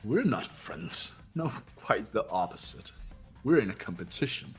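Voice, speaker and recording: A man's voice: on the low side, sing-song, measured pace, gravelly, slightly rough, faint music behind, full character voice. A man playing an arrogant, cold young heir in a fan-voiced game, speaking in a formal, condescending way. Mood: haughty, cold. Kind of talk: amateur voiced video game playthrough.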